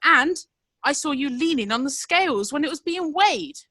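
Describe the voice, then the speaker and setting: A woman's voice: sits high, expressive, moderate pace, pushed and bright, no echo, studio quality. A woman playing a proud, indignant male butcher defending himself in a period courtroom play, with an accusing manner. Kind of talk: theatrical courtroom play acting.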